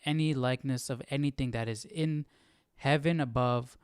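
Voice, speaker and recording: A man with a smooth, clear voice leads a casual Bible study. He reads and explains while thinking aloud, often tagging his points with a short check, and the sound is dry. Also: mid-range voice, normal speed, darkish tone, no room sound. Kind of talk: casual live study commentary, reading verses and explaining.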